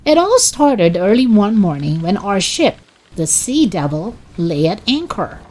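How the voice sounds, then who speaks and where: A woman reading a children's chapter book aloud as narrator, expressive, dramatic and deliberate. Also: medium pitch, soft background music. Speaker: children's story reader. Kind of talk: expressive scripted storybook narration.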